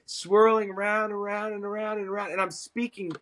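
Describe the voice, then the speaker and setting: A middle-aged man, a worship musician and podcast guest, telling a personal story in an animated, self-amused way. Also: high voice, fairly quick.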